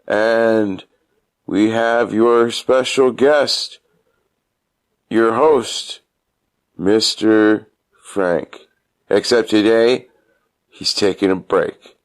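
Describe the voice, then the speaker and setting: A man giving a mock-announcer podcast intro and sponsor plug in a halting, theatrical, absurdly comic manner. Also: fairly low pitch, fairly even intonation. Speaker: guest caller giving a show intro.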